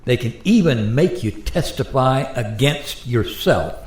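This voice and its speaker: Animated, wry everyman played by a man. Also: mid-range voice, unhurried, lightly acted. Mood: matter-of-fact warning. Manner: conversational, explanatory, wry. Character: resigned, plain-spoken modern American taxpayer, adult man. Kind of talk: radio play acting. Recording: roomy echo.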